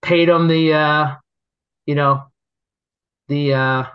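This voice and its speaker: A man telling stories in casual chat, halting and rambling, laughing often as he goes. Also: on the high side, fairly even intonation, clear, resonant tone, lo-fi sound. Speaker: guest, local business owner.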